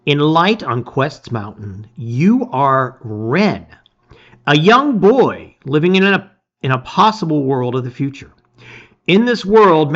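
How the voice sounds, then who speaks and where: A middle-aged man presenting and reading aloud with expressive emphasis, his phrases often trailing off softly. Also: mid-range voice. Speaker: host reading a gamebook aloud. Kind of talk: casual intro and dramatic read-aloud of storybook narration. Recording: slightly dull, lo-fi sound.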